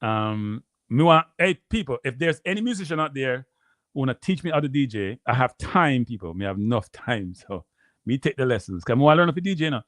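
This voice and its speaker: A Jamaican man hosting a live stream, rambling casually to his viewers and repeating himself, with a deep voice. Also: animated, brisk, fairly bright tone, no room sound. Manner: rambling, repeats phrases, addresses viewers as people. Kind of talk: casual livestream chat.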